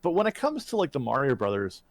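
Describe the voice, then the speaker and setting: A man's voice: medium pitch, wildly swooping pitch, fast, dry recording. A man co-hosting a podcast, chatting casually and reacting in short, informal phrases. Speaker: podcast co-host.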